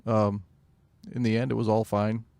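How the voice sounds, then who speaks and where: A man's voice: fairly low pitch, flat delivery, normal speed, creaky, a little mellow, dry recording. A man co-hosting a podcast in casual chat, laid-back with dry jokes and hesitant fillers. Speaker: podcast co-host.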